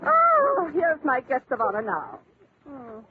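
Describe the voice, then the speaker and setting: Swooping, catty English society hostess. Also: female voice, sits high, huge pitch swings, slow pace, exaggerated voice. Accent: British upper-class. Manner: gushing, arch, condescending. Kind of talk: 1940s radio acting. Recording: noisy, tinny 1940s radio broadcast.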